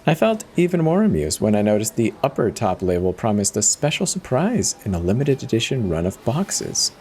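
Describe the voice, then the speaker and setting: A man's voice: fairly low pitch, very animated, normal speed, a little mellow, a little hiss or hum. A man giving a dramatic first-person reading of a horror story as its narrator.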